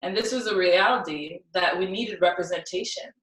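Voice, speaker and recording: A woman's voice: lowish voice, moderate pace, a bit bright, a little hiss or hum. A young woman from South Sudan giving an earnest personal talk at a symposium, with many ums. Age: young adult. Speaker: symposium speaker. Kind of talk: personal talk with recited poem. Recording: echoey room, distant mic.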